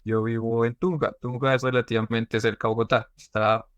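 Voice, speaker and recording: A man explains things at a measured pace, leaning on fillers like "digamos" and "entonces". His voice sits at a middle pitch, recorded close and dry. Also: level tone, fairly bright tone, dry recording, dull audio. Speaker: PhD student. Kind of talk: interview answers in Spanish.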